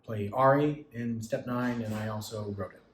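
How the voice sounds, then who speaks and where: A man, an actor and playwright, talking casually and haltingly as he gropes for words. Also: medium pitch. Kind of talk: casual, hesitant interview chat. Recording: echoey room, faint background noise.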